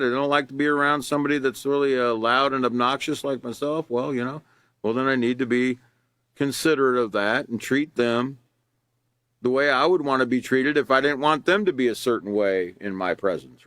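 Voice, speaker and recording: A man teaching a character education class, reading from a workbook and explaining it in a loud, earnest way with many fillers and restarts. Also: mid-range voice, no echo. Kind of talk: reading and explaining a lesson live.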